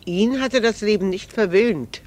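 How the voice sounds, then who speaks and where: An actress in a German radio drama playing a proud, candid, melancholy married woman, speaking in a measured, formal and earnest way. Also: medium pitch, darkish tone, lightly acted. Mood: candid, wistful.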